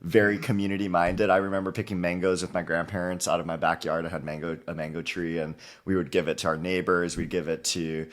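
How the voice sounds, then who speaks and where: A man's voice: deep voice, level tone, fast, hardly any pauses. A man from Hawaii, in his thirties, chatting as a guest in a podcast interview, upbeat and full of "you know" and "like". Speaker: guest author. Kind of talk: casual interview conversation.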